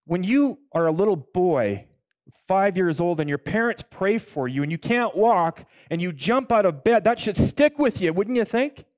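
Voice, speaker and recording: A man, a pastor telling his own story in a sermon, building to loud, emphatic peaks. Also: sits high, lively intonation, normal speed, dry recording. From Canada. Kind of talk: sermon with personal testimony. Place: church stage, indoors. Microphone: headset mic.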